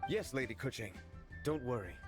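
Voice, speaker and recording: A man's voice: medium pitch, expressive, normal speed, natural voice. A man playing a polite, dutiful, slightly harried clerk in a video game, speaking deferentially and a little hesitantly. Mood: obedient. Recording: game music behind voice.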